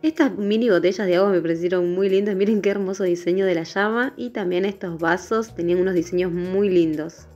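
A woman's voice: fairly low pitch, brisk, few pauses, a little mellow. A woman narrating a shopping vlog in voice-over. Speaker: vlogger. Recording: dull lo-fi voice-over with music. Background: background music.

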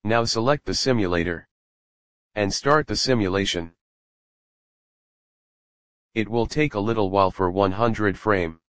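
A man with a lowish voice reads a software tutorial voice-over in short, clipped commands, one step at a time. His sentences are plain and simple in grammar. The recording is dry and close, with no room sound. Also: unhurried, long pauses, no room sound.

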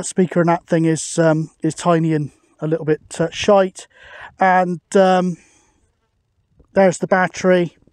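A man's voice: high-pitched, darkish tone, no room sound, clean studio sound. A British man chatting casually and matily outdoors, as a radio hobbyist making a video. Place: outdoors on an open hilltop.

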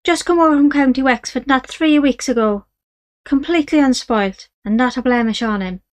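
A woman voicing a smooth-talking Irish male horse dealer with wheedling sales patter. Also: sits high, moderate pace, pitched above the performer's own voice, close to an everyday voice. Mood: coaxing.

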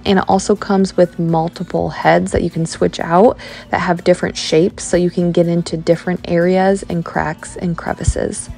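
A woman's voice: on the low side, gravelly. A woman in her thirties chatting casually about cleaning her home, with lots of ums and likes. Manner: hushed, quick talk while kids nap. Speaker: cleaning vlogger. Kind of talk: casual voice-over chat. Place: home, indoors. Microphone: handheld camera at arm's length.

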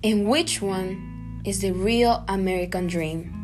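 Guatemalan-born student, reads evenly at a middle pitch over guitar music. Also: female voice, slow. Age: young adult. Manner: earnest, steady reading. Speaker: student podcaster. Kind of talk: scripted reading.

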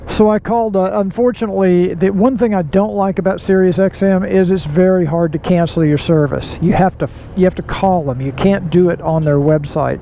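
A middle-aged man talks from his helmet mic as he rides a motorcycle through town, his voice sitting fairly high for a man. Steady road and wind noise runs under his words. He tells his story in a folksy, rambling way, full of asides. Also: brisk, vocal fry, hardly any pauses. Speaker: motorcycle vlogger. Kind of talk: casual riding vlog monologue. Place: outdoors riding a motorcycle on city roads. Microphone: helmet mic, helmet-mounted camera.

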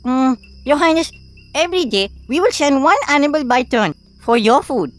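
A woman's voice: high voice, lively intonation, normal speed. A woman voicing a small, nervous but clever male hare in a cartoon, stammering and deferential. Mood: pleading. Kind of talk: cartoon voice acting.

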